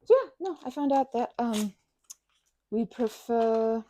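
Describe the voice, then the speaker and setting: A woman's voice: a little high, lively intonation, takes it slowly, warm tone, a little hiss or hum. A woman performing a casual best-friend ASMR roleplay, chatting in a playful, scatterbrained way and rambling as she goes.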